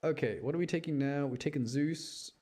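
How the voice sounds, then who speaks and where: A man's voice: fairly high pitch, a little fast, mellow. A man giving casual, enthusiastic gameplay commentary in a rambling, slangy way. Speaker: game streamer.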